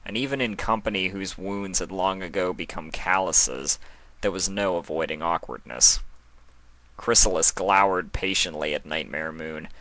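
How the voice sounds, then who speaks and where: Deep, bright-voiced male story narrator. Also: no room sound, low-quality recording. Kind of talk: expressive scripted story narration.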